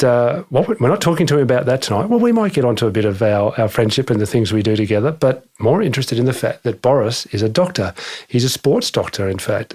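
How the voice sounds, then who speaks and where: A man's voice: mid-range voice, a little mellow. A man hosting a casual podcast interview at home, friendly and matey. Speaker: podcast host. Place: home, indoors.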